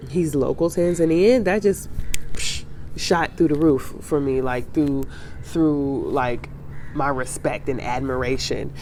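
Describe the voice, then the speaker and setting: A woman's voice: deep voice, dark tone, a little hiss or hum. A woman in her thirties with a congested, nasal voice, getting over a sinus cold, chatting to camera in a reflective vlog with many fillers and restarts. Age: 30s. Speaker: travel vlogger. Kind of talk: casual chat to camera.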